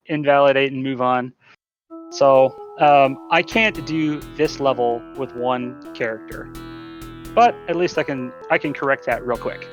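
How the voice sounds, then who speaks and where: A man's voice: medium pitch, highs cut off. A man giving informal live commentary as a speedrunner, often talking to the game while he plays.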